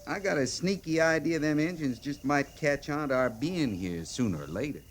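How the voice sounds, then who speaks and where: A man's voice: fairly high pitch, expressive, normal speed, close to an everyday voice. An actor playing a wry frontier cavalry sergeant in an old time radio drama, drawling his lines with laconic, folksy understatement. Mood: sardonic, understated. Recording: vintage radio recording with noise.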